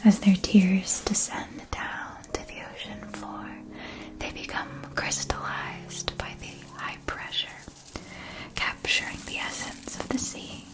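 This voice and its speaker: Slow, whispering woman in ASMR roleplay. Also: medium pitch, little pitch movement. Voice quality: soft breathy whisper. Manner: slow, deliberate, careful enunciation. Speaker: ASMR roleplay host playing a magic school shopkeeper.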